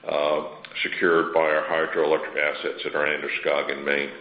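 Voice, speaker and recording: A man speaking as a company executive on an earnings call, businesslike and somewhat halting. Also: very deep voice, level tone. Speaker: company executive presenting financial outlook. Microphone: conference call line. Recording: dull, echoey conference call.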